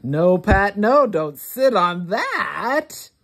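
A woman reading a rhyming picture book aloud for young children, word by word, with deliberate expression. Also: mid-range voice. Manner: deliberate, word-by-word, expressive delivery for early readers. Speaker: children's read-along host.

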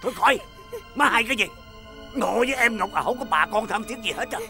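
An actor playing a brash troublemaker with a mocking manner, speaking. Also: male voice, high-pitched, expressive, fast talker, sharp tone, exaggerated voice. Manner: mocking then shrieking for help. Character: brash Chinese-Vietnamese man, cheeky troublemaker. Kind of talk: stage drama acting. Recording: music behind the voice.

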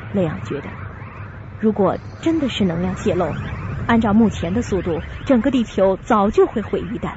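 Animated woman narrating a radio drama. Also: on the high side, background noise. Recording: dull lo-fi audio with music behind.

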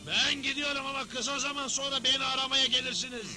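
A man voicing an indignant, excitable old frontier judge in a western cartoon dub, with a blustery, emphatic delivery. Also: extremely high pitch, fast, soft background music, exaggerated voice. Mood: indignant, blustering.

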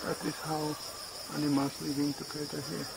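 A man in his forties vlogging casually outdoors on a farm, speaking in halting English and searching for words, recorded on a handheld phone. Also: on the high side, completely flat delivery, vocal fry, heavy background noise. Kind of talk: casual vlog narration. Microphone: phone camera at arm's length. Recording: outdoor ambience with insects and animals.

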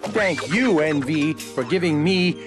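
A man's voice: on the high side, expressive, slow pace. A man playing a dazed, satisfied customer giving a stilted infomercial testimonial in a cartoon game. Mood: blissful, stilted. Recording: music behind the voice.

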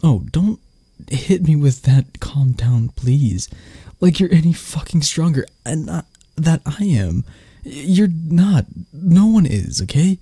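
A man acts out an arrogant male boss for an ASMR audio drama. His voice is breathy and gravelly, swinging widely in pitch while keeping an unhurried pace. He comes across as curt and sardonic, with anxiety underneath. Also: animated, mellow, no room sound, heavily acted. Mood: embarrassed, defensive. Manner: curt, sardonic, stammering when flustered. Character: arrogant, grumpy male CEO, secretly anxious and vulnerable. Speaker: voice actor.

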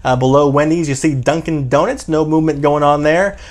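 A man in his thirties, hosting a fast food channel, casually talks through a ranking chart, filling his pauses with many "uh"s and "um"s. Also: a little high, fairly even intonation, a little mellow. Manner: chatty, many "uh" and "um" fillers, thinking aloud. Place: indoors, in front of a green screen.

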